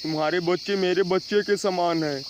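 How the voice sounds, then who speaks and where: A troubled cartoon father crow, acted by a man, with a high male voice. He is emphatic and heartfelt, with clear insect noise in the background. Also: steady tone, moderate pace, natural voice. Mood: earnest. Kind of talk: Hindi cartoon moral story dialogue.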